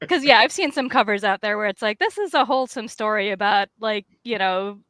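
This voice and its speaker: A woman comic artist chatting casually about her work, with an animated, self-deprecating, slangy way of talking. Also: mid-range voice, a little fast, dry recording. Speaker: comic artist and writer, guest.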